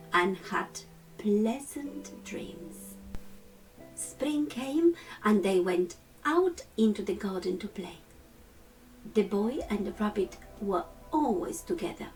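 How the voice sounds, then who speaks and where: A woman narrating a children's storybook as a storytime reader, with measured, deliberate phrasing. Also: medium pitch, far from the mic, rough recording.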